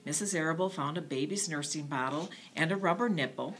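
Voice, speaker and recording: A woman's voice: low-pitched, brisk, fairly bright tone. A woman narrating a children's bedtime story expressively.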